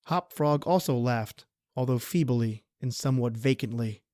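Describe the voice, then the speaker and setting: Male narrator for radio horror drama: medium pitch, theatrical scripted reading, dry studio-like sound. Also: moderate pace, a little mellow, no room sound.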